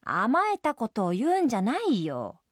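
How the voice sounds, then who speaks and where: A woman's voice: fairly low pitch, lively intonation, measured pace, a little mellow, dry recording, clean studio sound. A woman voicing a stern mother jellyfish in a storybook, scolding bluntly in folksy motherly words but caring underneath.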